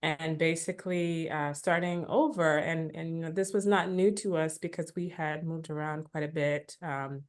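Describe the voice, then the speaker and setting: A woman's voice: deep voice, steady tone, moderate pace, hardly any pauses. A woman from Africa, a podcast guest, telling her immigration story in a reflective, casual way, full of "you know" asides.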